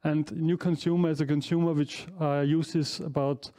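A non-native English-speaking man in his forties lectures through a headset mic in a big hall. His voice sits a little high but sounds dark and mellow. He talks through his slides with fillers and small self-corrections. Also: little pitch movement, normal speed, clear, resonant tone. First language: not English. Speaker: professor giving an inaugural lecture. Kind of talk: academic lecture.

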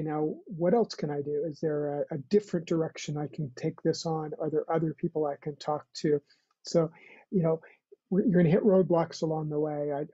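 An older man explaining on a video call, relaxed and thinking aloud with "you know", using a headset. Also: on the high side, no echo. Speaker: program mentor answering questions. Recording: dull, dry video-call audio.

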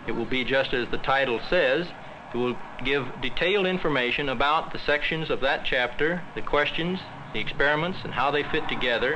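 A man in his thirties giving a prepared explanatory answer as a meeting panelist. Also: medium pitch. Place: meeting room. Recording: faintly noisy old film soundtrack.

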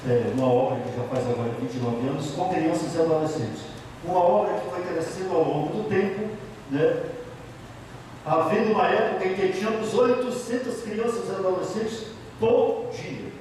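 A middle-aged man with a Bahian accent speaks as a priest, recounting his life with emphasis and frequent repetition. His voice sits somewhat high. It comes from a distance in a room with long, roomy echo. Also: moderate pace, darkish tone, slight background noise. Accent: Bahian Portuguese. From Bahia, Brazil. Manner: emphatic, repeats key phrases, frequent tag questions. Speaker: priest giving a talk. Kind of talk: storytelling talk about his life. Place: office meeting room, indoors. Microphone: handheld mic. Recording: echoey room from a far camera.

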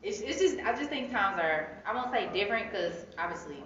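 A woman's voice: mid-range voice, lively intonation, fairly quick. A young American woman talking casually and reflectively as an interviewed author, recorded from a few feet away in an indoor gallery. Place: indoor art gallery, seated against wall. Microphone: phone camera several feet away. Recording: echoey room, distant mic, dull sound, crowd noise.

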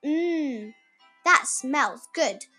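Woman as a proud, high-voiced storybook cat. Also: lively intonation, drawn-out words, sharp tone, soft background music, exaggerated voice. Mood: eager.

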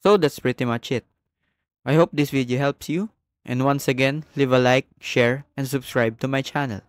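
A man narrating a step-by-step software tutorial as the host. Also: mid-range voice, normal speed, clear, resonant tone, no room sound, clean studio sound.

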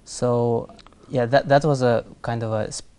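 A young man in his twenties from Kazakhstan, a studio interview guest who answers in a soft, measured, hesitant way. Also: medium pitch, fairly even intonation, clean tone. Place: TV studio set.

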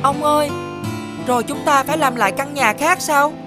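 A woman playing an earnest young boy prince in an animated fairy tale, polite and childlike. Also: high-pitched, moderate pace, close to an everyday voice. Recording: background music under the voice.

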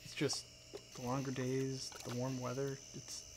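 A man playing a friendly, gentle dragon friend in a soft-spoken, affectionate ASMR roleplay. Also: medium pitch, monotone, measured pace, noisy background, lightly acted. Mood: wistful, easygoing.

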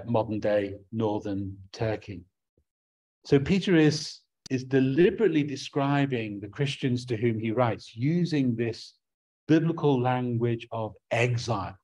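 A man's voice: medium pitch, darkish tone. Man, English accent, middle-aged, sermon, earnest, repeats words when restarting, webcam at home. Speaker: preacher.

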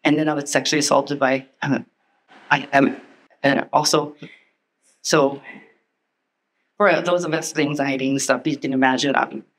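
A woman giving a halting, nervous personal testimony as a panelist, speaking into a handheld mic in a meeting room. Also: extremely low pitch, steady tone, low-quality recording. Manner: halting, nervous, many restarts.

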